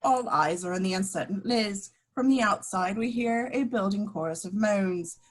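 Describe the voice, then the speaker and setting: Casting: female action narrator for a script read-through, mid-pitched. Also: moderate pace. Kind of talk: scripted narration, reading screenplay directions.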